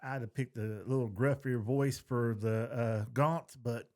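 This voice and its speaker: A man's voice: mid-range voice, fairly even intonation, a little slow. A middle-aged man, co-hosting a podcast in casual chat, giving opinions in a plain-spoken, folksy way. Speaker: podcast co-host.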